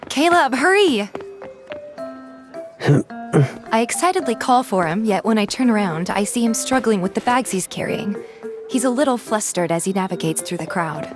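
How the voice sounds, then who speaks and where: A woman's voice: mid-range voice, lively intonation, brisk, music in the background, close to an everyday voice. An actress playing a sulky but fond young woman, narrating in the first person and acting out her grumbling lines. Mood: playful, grumbling. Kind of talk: first-person story narration with acted dialogue.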